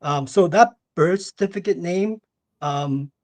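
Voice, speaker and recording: A man with a fairly high-pitched voice speaks as a caller to an advice show, in a dry, echo-free sound. His delivery is casual and halting, often circling back to reword what he means. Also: darkish tone. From Canada, born in Singapore. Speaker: caller with a question. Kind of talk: casual question on a call-in show.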